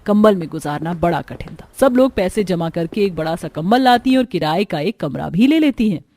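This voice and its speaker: A woman's voice: fairly low pitch, expressive, brisk, dark tone. A woman narrating a scripted cartoon story as its storyteller.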